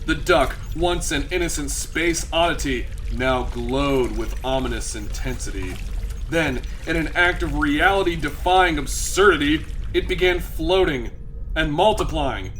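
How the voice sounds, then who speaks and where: A man's voice: on the high side, lively intonation, a little slow, a bit bright, a little hiss or hum. A man narrating a sci-fi comedy audio drama with dramatic, mock-serious storytelling delivery.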